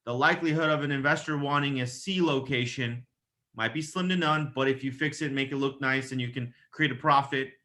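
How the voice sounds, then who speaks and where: A man's voice: mid-range voice, steady tone, brisk, sharp tone. A man working as a real estate agent, giving casual, emphatic advice in a conversational coaching style and acting out example phone calls. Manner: emphatic, casual, mildly profane, checks in with "okay?".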